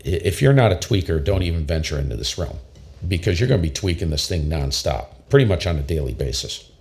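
A man in his fifties with a very low voice, enthusiastically explaining ham radio audio gear in a casual tutorial from his home radio room. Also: very animated, fast, gravelly, a little mellow. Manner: enthusiastic, emphatic, repeats himself. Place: home radio shack, small indoor room. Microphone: studio condenser mic with pop filter.

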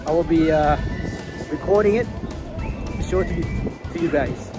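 A man's voice: a little high, moderate pace, loud music behind the voice. A man in his fifties with a Thai accent, chatting casually in broken English as he films himself with a phone held at arm's length on an outdoor cruise ship deck. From Thailand. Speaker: vlogger. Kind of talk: casual travel vlog.

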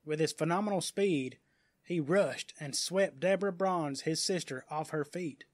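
Male audiobook narrator, fairly high voice, slow dramatic storytelling. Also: no room sound, clean studio sound.

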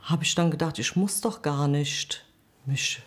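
A woman in her forties giving a reflective, halting interview account. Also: sits low. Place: quiet indoor room.

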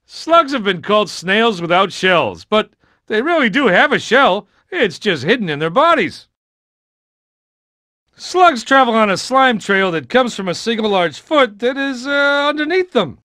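High, bright cartoon guide. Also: male voice, sing-song, a little slow, dry recording, studio quality, exaggerated voice. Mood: informative. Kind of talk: scripted educational narration for children.

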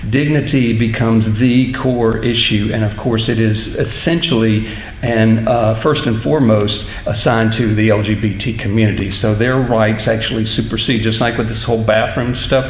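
A middle-aged man, a minister, giving an earnest, halting public talk at a church lectern into a stand microphone. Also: lowish voice, fairly even intonation. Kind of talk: public lecture in church, reading from notes. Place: church stage at a lectern, indoors. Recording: roomy hall echo, clear background noise.

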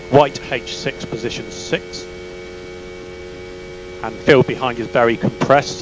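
A man working as a race engineer, giving calm, measured, clipped instructions over team radio. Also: mid-range voice. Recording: noisy radio link with car hum. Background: car engine hum.

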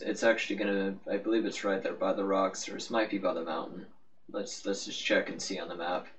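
A man giving a casual, rambling, offhand game walkthrough as the host of a gaming video. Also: lowish voice. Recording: muffled, noisy, distant-sounding microphone.

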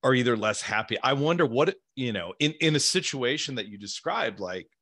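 Bright, animated low-voiced male interviewer. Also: fairly quick. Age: middle-aged. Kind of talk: casual interview chat. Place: small home office, indoors. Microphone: desk microphone on boom arm.

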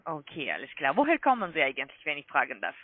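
A woman with a foreign accent in German, a non-native speaker, making an insistent scripted sales pitch as a call-centre agent, with repeated tag questions. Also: huge pitch swings, fast. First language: not German. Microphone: phone call. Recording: muffled tinny phone line.

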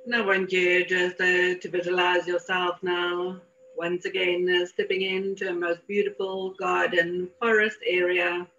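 A woman leading a guided meditation in a soothing, deliberate manner with repeated phrases. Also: on the low side, level tone, sharp tone. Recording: dull lo-fi audio.

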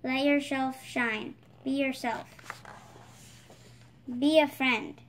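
High-pitched female storybook reader. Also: fairly even intonation, takes it slowly, a little hiss or hum. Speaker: storybook reader, channel host. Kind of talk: picture book read-aloud.

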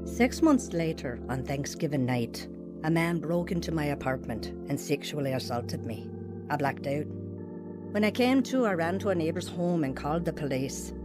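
A woman's voice: low voice, big pitch swings, normal speed. An actress playing a troubled young mother telling her painful life story in a radio drama. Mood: sorrowful, reflective. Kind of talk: dramatised first-person narration, radio drama. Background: music.

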